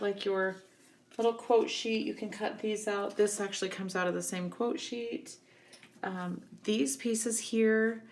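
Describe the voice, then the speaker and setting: A woman with a scratchy voice from a cold, giving a relaxed, chatty scrapbooking tutorial. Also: mid-range voice. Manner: relaxed, chatty, many ums. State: getting over a cold, scratchy voice. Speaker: craft instructor.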